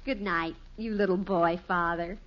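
An actress playing an affectionate, playful young American daughter in a radio situation comedy. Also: female voice, on the low side, fairly even intonation, measured pace, natural voice. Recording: muffled, hissy old radio recording.

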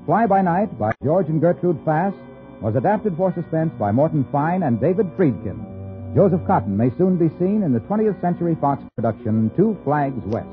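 A man's voice: on the high side, sing-song, moderate pace, soft background music. An American man announcing in a polished, emphatic 1950s radio sales style. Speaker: commercial announcer. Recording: muffled old radio recording.

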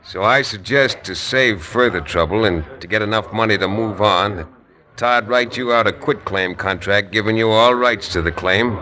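An actor playing a steady young frontier hero in a 1950s radio western, calm, commanding and wry. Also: male voice, on the low side. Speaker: lead actor.

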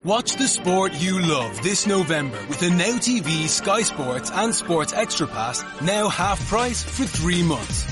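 Animated high-voiced male advertising announcer. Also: measured pace, few pauses. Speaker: advertising voice-over. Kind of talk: upbeat scripted ad read. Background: music.

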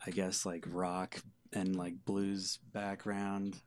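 Low-voiced man, casual band chat. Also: completely flat delivery, slow. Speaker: band singer-songwriter being interviewed.

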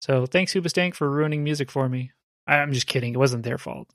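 Male podcast co-host, mid-pitched, chatty explainer, dry close sound. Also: brisk, a bit bright, no echo. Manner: chatty, explaining with rhetorical "right?". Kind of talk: casual chat.

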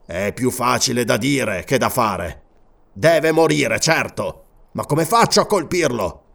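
A man's voice: medium pitch, expressive, slow pace, gravelly, a bit bright, exaggerated voice. An Italian man narrating an audiobook and voicing a proud, furious barbarian king in disguise who swears vehement, declamatory oaths. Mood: bitter.

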